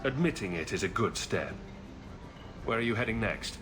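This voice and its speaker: A man's voice: fairly low pitch, expressive, normal speed, fairly bright tone, soft background music. An actor playing a blunt, dry-witted man in a video game, with an exasperated edge. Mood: dry, prodding.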